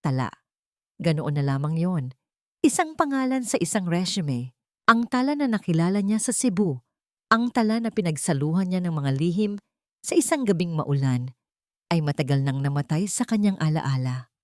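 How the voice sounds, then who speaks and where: A woman narrating a scripted first-person drama in a solemn manner, in short deliberate phrases. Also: fairly low pitch, expressive, dry recording, clean studio sound.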